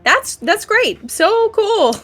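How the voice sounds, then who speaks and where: A woman's voice: very high-pitched, sing-song, slow, a bit bright. A woman talking casually as a player in a tabletop game. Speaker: tabletop role-play player. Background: background music.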